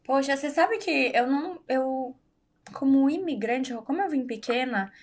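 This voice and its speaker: A woman's voice: high voice, normal speed. A woman from Brazil, a guest in a casual interview, talking candidly and confidently with plenty of fillers. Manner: candid, self-assured, chatty with fillers. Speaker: guest, entrepreneur.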